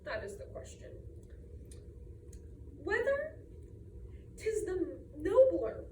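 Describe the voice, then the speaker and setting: A woman playing a fussy, pompous club-president aunt who repeats herself and clears her throat in a stage monologue. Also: extremely high pitch, very animated, slow, heavily acted. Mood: officious. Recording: roomy, distant mic, faint noise.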